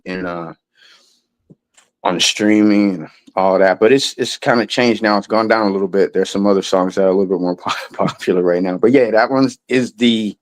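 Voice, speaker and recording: A man in a casual interview, talking in a loose, rambling way with lots of restarts and some swearing. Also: fairly low pitch. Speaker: guest musician.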